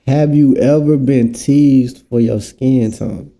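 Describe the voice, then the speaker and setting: A man's voice: mid-range voice, slow pace, gravelly, dark tone. A man hosting a casual podcast, laid-back and encouraging. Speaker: podcast host.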